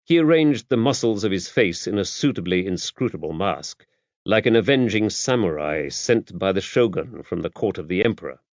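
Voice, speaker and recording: A British man narrates a mystery novel in a fairly low voice. His delivery is scripted audiobook storytelling. The sound is very dry, with a slightly muffled, lo-fi finish. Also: animated, moderate pace, no room sound.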